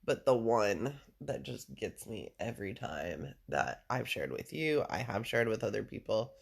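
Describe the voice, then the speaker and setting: A man with a deep voice chatting casually and at length to a listener, rambling, full of fillers and casual swearing. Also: big pitch swings, unhurried, vocal fry, breathy edge. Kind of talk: casual personal chat with a listener.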